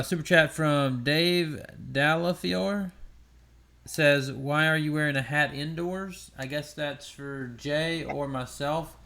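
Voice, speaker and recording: A man moderating a debate, reading out live-chat questions in a relaxed, friendly way with many fillers. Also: a little high, clean tone.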